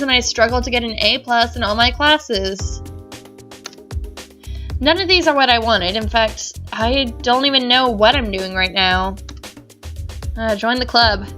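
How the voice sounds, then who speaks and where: A woman's voice: a little high, sharp tone. A young woman narrating a visual novel as a let's play host, reading dramatically and dropping sarcastic quips. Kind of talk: reading game text aloud with wry asides.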